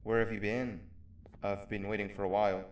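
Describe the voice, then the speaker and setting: A man playing a weary, guarded former worker in a video game, speaking in a measured, understated and reflective way. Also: fairly low pitch, level tone, lightly acted. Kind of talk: video game character dialogue. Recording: roomy, distant, noisy game ambience.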